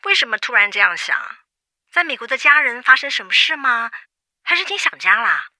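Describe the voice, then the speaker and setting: An actress playing a brash, nosy young best friend, blunt and exclamatory in Taiwanese Mandarin, in a radio drama. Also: female voice, on the high side, sing-song, fairly quick, bright, forceful tone, dry recording, heavily acted. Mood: worried.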